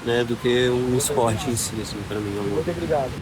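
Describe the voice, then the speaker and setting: Man in his 30s, mid pitch, reflective, crowd behind. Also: normal speed, rough recording. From Minas Gerais, Brazil. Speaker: interviewee, surfer. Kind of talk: casual interview answers.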